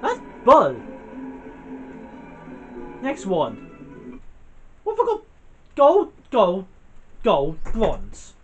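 Frustrated gamer muttering. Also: male voice, high voice, huge pitch swings, drawn-out words, pauses often, soft background music. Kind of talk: casual game commentary.

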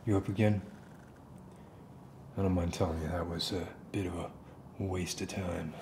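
A man in his forties playing an unstable, falsely reassuring survivalist captor in an ASMR roleplay, speaking softly and haltingly with a gravelly voice. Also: low-pitched, fairly even intonation, background noise. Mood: weary, coaxing. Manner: halting, hesitant, rambling. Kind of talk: ASMR roleplay, soft-spoken acted monologue. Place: dim concrete basement, indoors.

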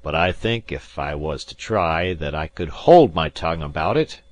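A man voicing a shrewd, greedy ship captain who bargains gruffly and dryly in an audiobook. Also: extremely low pitch, animated, moderate pace, no echo. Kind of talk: audiobook character voice.

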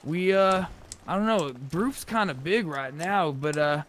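A man with a somewhat high voice chats in character while playing an online game. He speaks over game voice chat with light background noise. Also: expressive, unhurried, fairly bright tone. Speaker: player in an online zombie roleplay game.

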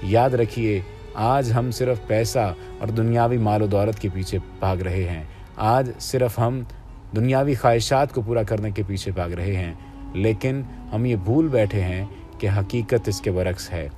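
A man reading scripted religious narration in a measured, formal, preaching manner. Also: on the low side, dark tone, faint music behind. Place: voice-over over stock footage with music.

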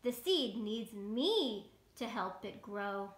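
A woman voicing a proud rain cloud from a picture book, emphatic and measured. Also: medium pitch, lively intonation. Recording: roomy, echoey home recording.

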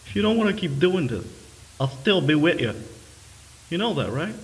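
A man's voice: fairly high pitch, very animated, moderate pace, rough recording. An actor playing a timid, devoted video game brother who speaks hesitantly and earnestly, stammering as he goes. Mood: anxious, pleading.

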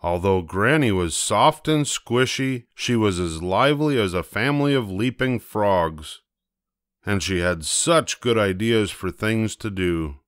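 Older man, children's storyteller, expressive and varied intonation, deliberate pauses, close dry recording. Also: fairly low pitch, no room sound. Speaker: storybook narrator.

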